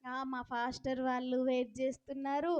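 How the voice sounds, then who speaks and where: A woman's voice: high voice, level tone, brisk, clear, resonant tone. A woman hosting a children's puppet show, talking playfully to the children and the puppet and often asking them questions. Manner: instructive, addresses children with questions.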